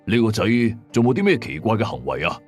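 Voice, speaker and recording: Man playing a calm, measured exorcist. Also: lowish voice, husky, faint music behind, full character voice. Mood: calm, inquiring. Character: calm middle-aged exorcist father questioning clients.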